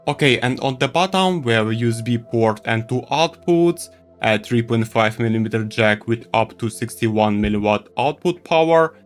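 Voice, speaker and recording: Animated man reviewing gadgets over faint music. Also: mid-range voice, clear, resonant tone. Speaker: tech reviewer. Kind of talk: scripted product review narration.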